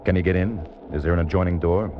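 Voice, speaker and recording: A man's voice: low voice, fairly even intonation, fast talker, noisy background, close to an everyday voice. An actor playing a tough, wry agent who tells his own story in clipped hardboiled style in a 1950s radio thriller. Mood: hard, pressing. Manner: clipped, sardonic, hard-boiled. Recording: muffled 1950s radio recording, music behind.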